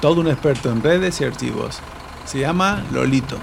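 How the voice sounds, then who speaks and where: An actor playing a laid-back, helpful friend with useful contacts in an audio drama, casual and easygoing. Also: male voice, medium pitch, expressive, moderate pace, creaky, noisy background. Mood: relaxed, helpful.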